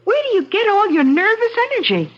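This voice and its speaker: An actress playing an inquisitive, flattering woman reporter in an old-time radio drama. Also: very high-pitched, a little fast, over loud music, full character voice. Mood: gushing, curious. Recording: dull old radio recording.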